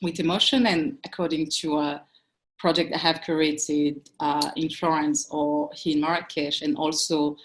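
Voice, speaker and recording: Low-voiced woman, thoughtful. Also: flat delivery, unhurried, poor audio. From Cameroon. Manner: thoughtful, searching for words, frequent restarts. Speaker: curator panelist. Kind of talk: panel discussion on a video call. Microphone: video call.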